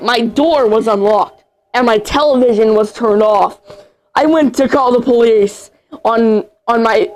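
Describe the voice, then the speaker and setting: An amateur narrator reading a horror story aloud in a halting way, tripping over words and repeating phrases. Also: medium pitch, darkish tone, a little hiss or hum, rough recording. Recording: music under the voice. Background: music.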